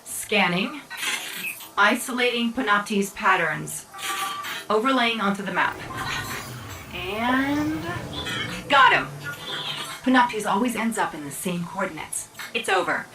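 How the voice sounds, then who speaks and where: An actress playing a witty, upbeat little AI companion in a video game, brisk and confident. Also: female voice, mid-range voice, animated, rough recording. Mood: triumphant. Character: witty, upbeat female Ghost, small AI companion. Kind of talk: scripted video game voice acting. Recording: music playing behind the voice.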